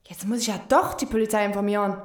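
A woman's voice: mid-range voice, steady tone, fast talker, roomy sound, natural voice. An actress playing a crude, suspicious and proud old woman neighbour in a radio crime play, brusque and accusatory. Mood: baffled.

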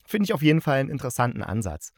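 A man with a medium-pitched voice hosts a casual solo review podcast. He talks in a chatty, slightly halting way, feeling for the right word and correcting himself. His delivery has a playful, jokey side, and the sound is dry with hardly any room. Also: sing-song, no echo. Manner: halting, searching for words, self-correcting. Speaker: podcast host reviewing radio plays.

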